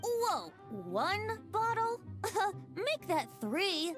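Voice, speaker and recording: A woman's voice: extremely high pitch, big pitch swings, very slow, loud music behind the voice, full character voice. A woman voicing a playful, youthful boy bard in a video game, teasing and theatrical with florid, mock-grand phrasing. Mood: playful bargaining.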